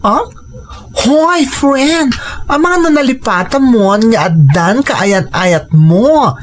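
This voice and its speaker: A woman playing a chatty, candid co-worker and friend in an audio drama, expressive and exclaiming. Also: a little high, slow pace. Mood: teasing, incredulous. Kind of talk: Ilocano audio drama acting.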